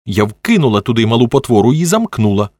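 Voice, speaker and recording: A man's voice: medium pitch, very animated, fast, darkish tone, dry recording, clean studio sound, pitched above the performer's own voice. A man voicing a tearful, defensive young woman in an audiobook, agitated and pleading. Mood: accusing.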